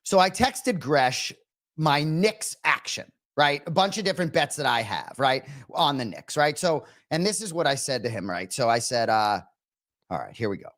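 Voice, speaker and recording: A man co-hosting a casual sports talk show, rambling and animated, a little nasal from a cold, tagging his points with "right?". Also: mid-range voice, moderate pace. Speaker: co-host. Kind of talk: casual sports radio chat.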